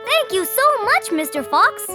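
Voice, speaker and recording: A woman voices an eager little jungle carpenter from a children's cartoon, trusting and chirpy. The pitch is extremely high and bounces in a lively way, over clearly audible music. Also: measured pace, heavily acted. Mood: trusting, grateful. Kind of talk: children's animated bedtime story dialogue.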